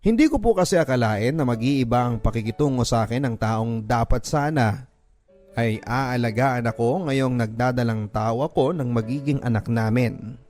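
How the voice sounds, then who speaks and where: Male radio drama narrator, mid-pitched, emotive first-person letter reading, faint music bed. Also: darkish tone. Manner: emotive, slowing on sad moments.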